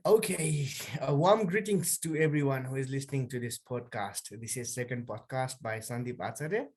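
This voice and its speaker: A man's voice: medium pitch, moderate pace. A man in his thirties from Nepal with a Nepali accent, answering interview questions in a hesitant way with many fillers. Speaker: podcast guest, social worker.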